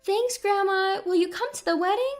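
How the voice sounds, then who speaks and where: A woman's voice: extremely high pitch, moderate pace, faint music behind, voice pushed up. A woman voicing a cheerful, candid young adult granddaughter in an audio story, open and chatty. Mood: bright, candid. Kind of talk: acted dialogue in an audio story.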